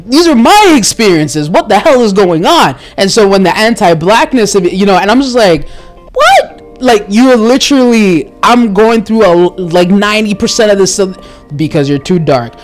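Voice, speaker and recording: Animated woman with high exclaimed asides. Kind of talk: candid casual discussion.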